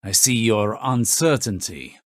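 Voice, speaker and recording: An actor playing a stern, proud military commander with a formal, deliberate delivery in scripted game dialogue. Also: male voice, lowish voice, animated, no room sound. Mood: stern, defiant.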